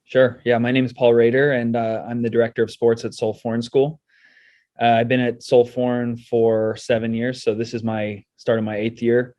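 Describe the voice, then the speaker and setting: A middle-aged man with an American accent, giving measured, explanatory answers as a guest in a casual interview. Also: mid-range voice, flat delivery, dry recording, lo-fi sound. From United States and South Korea. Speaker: school sports director, interview guest.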